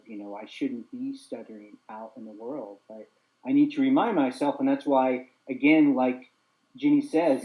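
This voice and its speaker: A man's voice: medium pitch, highs cut off. A young man hosting a casual group chat who stutters openly with repeated sounds and talks in an earnest, open way. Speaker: host.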